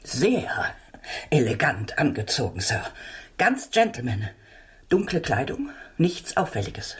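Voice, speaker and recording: A woman's voice: a bass voice, very animated, slow pace, full character voice. An actress playing a polite, deferential and slightly anxious landlady in a German radio drama, a little hesitant. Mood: respectful. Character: deferential, anxious female landlady, respectful client.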